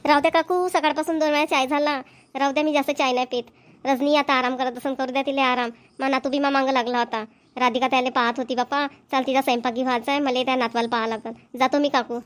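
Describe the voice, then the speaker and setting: A high, rapid voice of a woman acting as a village grandmother in a homemade cartoon comedy. She is a friendly, gossipy neighbour with a Varhadi Marathi accent. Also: flat delivery, natural voice. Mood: chatty, neighbourly.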